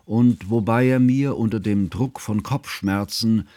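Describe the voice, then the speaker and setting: An actor playing a grave, scholarly older man who narrates his composer friend's life in a radio play, solemn and measured in ornate literary phrases. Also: fairly low pitch, warm tone, no room sound, close to an everyday voice. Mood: mournful, reverent.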